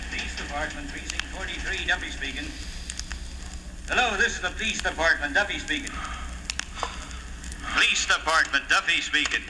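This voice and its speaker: An actor playing a brisk, businesslike police desk officer answering the telephone in an old-time radio drama. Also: male voice, fairly high pitch, lightly acted. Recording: echoey, noisy old radio recording.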